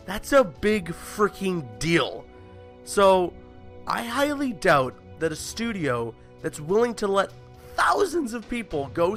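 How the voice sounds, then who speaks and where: A man's voice: a little high, fairly bright tone. A young man vlogging casual movie commentary while sick with a bad cold and sore throat, chatty and emphatic. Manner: chatty, filler-heavy, emphatic.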